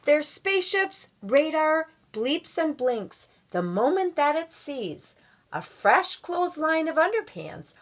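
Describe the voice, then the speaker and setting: A middle-aged woman reading a children's picture book aloud at home with expressive, drawn-out storytelling delivery. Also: high voice. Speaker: volunteer guest story reader. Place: small room at home.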